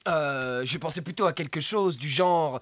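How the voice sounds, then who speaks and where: An actor voicing a bossy, excitable fox with grand heroic plans in a French cartoon dub. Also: mid-range voice, normal speed, close to an everyday voice. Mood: grand, confident. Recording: music behind the voice.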